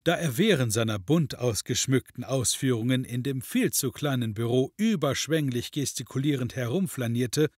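Guy narrating an audio play, husky mid voice, super dry studio sound. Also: mid-range voice, moderate pace, hardly any pauses, a little mellow, no room sound. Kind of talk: scripted audio drama narration.

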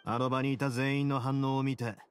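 A man playing a composed, shrewd prison duke in an anime game, explaining things in a calm, measured, confident way. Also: mid-range voice, faint music behind, natural voice.

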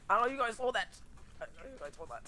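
A young man reacts to a video in casual slang, his pitch leaping around as he jokes and repeats himself. He is excitable, talking into a desk microphone in a small room with audible background noise. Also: normal speed, poor audio. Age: young adult. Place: small bedroom indoors. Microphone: desk microphone with pop filter.

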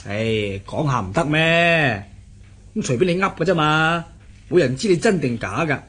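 A crafty middle-aged adviser in a Cantonese radio play. His husky voice swings up and down as he coaxes and teases with a Hong Kong accent. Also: on the high side, animated, normal speed, a bit bright. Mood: scheming. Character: sly, chuckling middle-aged adviser and scheming uncle. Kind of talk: radio drama acting.

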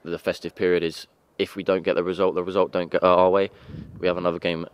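Low-voiced young footballer, fast and flat. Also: male voice, clean tone. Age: young adult. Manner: earnest, halting with restarts. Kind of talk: post-match interview. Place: outdoor football pitch at night. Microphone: handheld interviewer mic.

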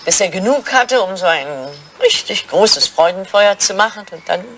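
A woman's voice: mid-range voice, expressive, normal speed, a bit bright, a little hiss or hum, natural voice. An older woman acting a poised, evasive widowed English lady in a German radio drama, cool and arch in manner. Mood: frank.

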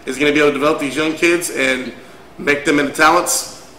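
A talkative male co-host with a bright, forceful voice who jokes his way through a casual chat. He drops "brother" and "man" into his sentences, and the room around him adds a roomy echo. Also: a little high, fairly even intonation, quick pace, slight background noise. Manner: chatty, joking, says brother and man a lot. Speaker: podcast co-host.

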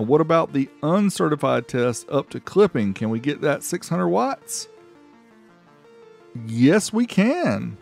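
A man's voice: fairly high pitch, vocal fry. A middle-aged American man giving a casual product review voice-over, with playful, showy emphasis. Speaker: host of car audio amplifier review channel. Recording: music faintly behind the voice. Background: background music.

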